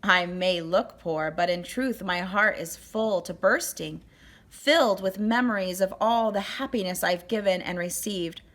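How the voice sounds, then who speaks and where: A woman's voice: medium pitch, unhurried, fairly bright tone, lightly acted. Storybook king acted by a woman, joyful, big-hearted, astonished, emphatic exclamations. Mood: cheerful, content.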